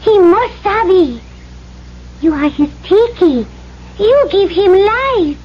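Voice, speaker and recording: A woman playing a gentle, devoted young Polynesian bride in an old-time radio drama, speaking simple broken English mixed with island words. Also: extremely high pitch, expressive, slow pace, heavily acted. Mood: earnest, gentle. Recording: muffled old radio broadcast, faint hum.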